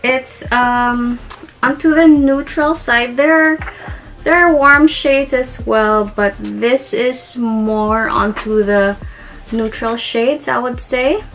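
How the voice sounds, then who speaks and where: A woman's voice: a little high, a bit bright. A young woman with a Filipino accent, talking casually through a beauty haul with lots of ums and little giggles. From Philippines. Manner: chatty, giggly, with many ums and restarts. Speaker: beauty vlogger.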